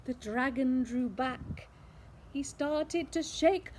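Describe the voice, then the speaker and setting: A woman narrating a rhyming children's storybook in an expressive, theatrical way, with dramatic pauses. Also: high-pitched, a little hiss or hum.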